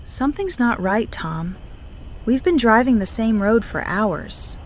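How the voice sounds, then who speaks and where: A woman playing an anxious, caring wife on a night drive in an audio drama, with an expressive, concerned delivery. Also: a little high, unhurried, a little hiss or hum. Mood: uneasy.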